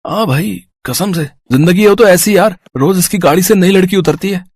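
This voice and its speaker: A man's voice: sits high, moderate pace, a little mellow, no room sound, pitched above the performer's own voice. A man voicing a gossiping, sneering male college student in a Hindi cartoon story, talking in a slangy, mocking way. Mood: mocking, amused.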